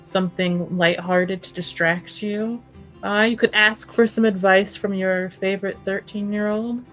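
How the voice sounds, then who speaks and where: A woman playing a tabletop game, improvising in-character conversation with hesitant, gentle suggestions. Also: mid-range voice, steady tone, a little slow. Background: soft background music.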